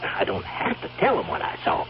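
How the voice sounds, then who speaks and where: A wheedling stable hand with blackmail in mind, in old radio drama style. His folksy, insinuating talk rambles and swings widely in pitch. The recording is dull, with faint hiss. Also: wildly swooping pitch, brisk, creaky, full character voice. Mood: sly, insinuating. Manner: folksy, rambling, insinuating, chuckling drawl of hesitations.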